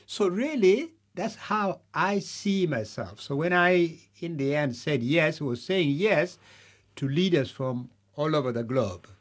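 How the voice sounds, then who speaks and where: A middle-aged man from Uganda, answering interview questions in a measured, deliberate way, stressing lists of points with pauses. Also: a little high, lively intonation. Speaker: candidate being interviewed.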